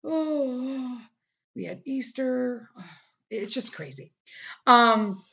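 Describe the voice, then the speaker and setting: A woman's voice: high-pitched, lively intonation, drawn-out words, creaky, highs cut off, noisy background. A middle-aged woman talks through her own podcast in a loose, candid ramble full of "like" and "you know." Her voice sounds tired and worn from a long day of recording, and she speaks close to the microphone. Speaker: podcast host. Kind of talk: casual solo podcast talk.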